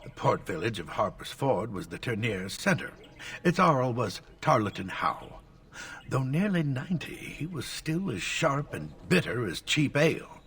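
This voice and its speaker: An actor playing an elderly scholarly tutor in a video game, lecturing formally and at length, stern and easily exasperated. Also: male voice, medium pitch, sing-song, unhurried, a bit bright, husky, recorded from a distance, exaggerated voice.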